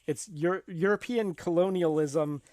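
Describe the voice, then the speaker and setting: A woman's voice: on the low side, normal speed, dark tone, no room sound. A woman speaks as a podcast co-host in a dry, close recording. She discusses books and films casually, explaining her points thoughtfully and with care. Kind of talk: casual book and film discussion.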